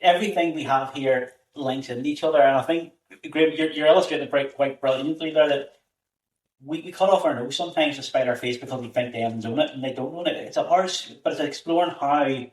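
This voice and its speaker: A Northern Irish man speaks in a mid-range voice from some distance off the mic. The room gives his voice a roomy echo. He argues earnestly, repeating words as his point takes shape. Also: medium pitch. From Northern Ireland. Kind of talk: casual discussion. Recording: roomy sound, away from the mic.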